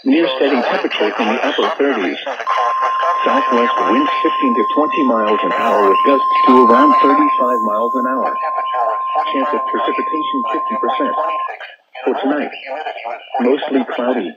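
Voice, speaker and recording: A man reading a scripted weather bulletin in a measured, formal, impersonal manner through a weather radio speaker. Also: medium pitch, highs cut off. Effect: played through weather radio speaker. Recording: radio speakers recaptured, heavy background babble and noise. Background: other weather radios talking over it.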